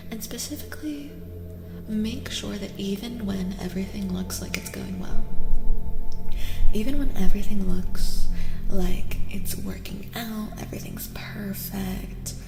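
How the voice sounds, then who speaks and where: A woman streamer giving a soft-spoken ASMR tarot reading, often whispering, unhurried and soothingly repeating her phrases. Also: mid-range voice, creaky. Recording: echoey long reverb, music behind. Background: music.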